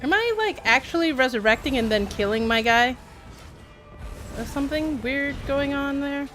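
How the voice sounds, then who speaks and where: A woman's voice: sits high, lively intonation, normal speed, a bit bright. A woman casually commentating a game live, thinking aloud in short fragments. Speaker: game streamer. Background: faint game music.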